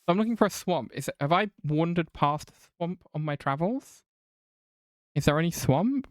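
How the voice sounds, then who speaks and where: An English woman with an accent that mixes south and north, chatting away on a live game stream and reading her chat aloud. Her voice is smooth and clear, recorded close and dry with no room sound. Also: low-pitched, animated, moderate pace, no room sound. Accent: mixed southern and northern English. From England. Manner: chatty, swears casually, reads chat aloud.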